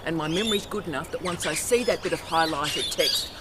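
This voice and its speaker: A woman's voice: low voice, fairly quick. A middle-aged woman, a university academic, giving an emphatic, forthright instructional vlog talk outdoors on a campus by the ocean, filmed on her own camera. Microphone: self-filmed camera outdoors. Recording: outdoor noise with birds and traffic.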